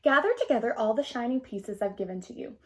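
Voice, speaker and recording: A woman plays a nurturing sun in a children's storybook. The voice is fairly high, gentle and measured, full of care for the child it speaks to. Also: expressive, slight background noise, lightly acted. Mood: reassuring.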